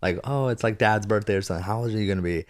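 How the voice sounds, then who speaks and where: Man, 30s, very low voice; easygoing co-host, dry close recording. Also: very fast, dry recording. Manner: easygoing, agreeable, many short "yeah"s. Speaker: podcast co-host. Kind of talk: casual chat.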